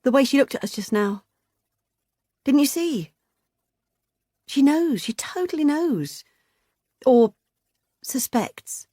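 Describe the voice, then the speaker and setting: A woman's voice: medium pitch, expressive, moderate pace, darkish tone, no echo, clean studio sound, pitched above the performer's own voice, natural voice. A woman reader voicing an anxious, guarded young woman, in an audiobook.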